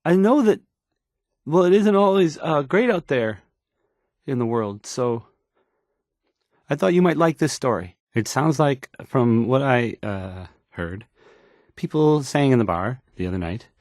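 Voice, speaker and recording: An actor playing a painfully shy young radio DJ in a video game, hesitant and apologetic, stammering and fumbling for words. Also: male voice, medium pitch, lively intonation, measured pace, long pauses, darkish tone, dry recording, clean studio sound, natural voice. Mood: awkward, tentative.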